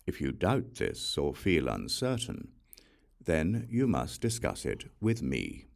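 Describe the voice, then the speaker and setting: A man reading an audiobook of sacred verse in a solemn, deliberate recitation with measured phrasing and pauses. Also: low voice, gravelly, a little mellow. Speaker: audiobook reader.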